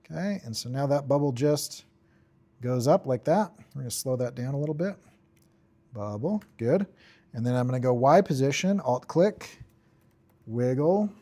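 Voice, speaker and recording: Guy doing a screen tutorial, mellow relaxed voice, kinda mumbles thru steps in short bits. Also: medium pitch, moderate pace, pauses often. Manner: offhand, talks in short fragments while working. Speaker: tutorial instructor. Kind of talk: informal screen-recorded software tutorial, thinking aloud while working.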